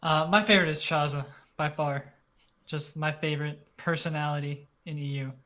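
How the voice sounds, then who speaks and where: Male co-host, slightly high voice, easygoing, jokey esports chat. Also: steady tone, normal speed, pushed and bright. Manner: easygoing, joking. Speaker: podcast co-host. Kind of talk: casual esports commentary.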